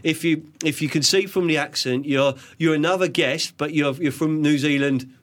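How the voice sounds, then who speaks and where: A man's voice: on the high side, moderate pace. A middle-aged man hosting a casual studio chat show, rambling and chatty with many restarts. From England. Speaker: podcast host.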